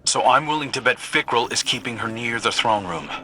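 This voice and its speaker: An actor playing a confident military mentor in a video game, brisk and matter-of-fact. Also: male voice, medium pitch, lively intonation, pushed and bright, slight background noise. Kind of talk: video game mission dialogue.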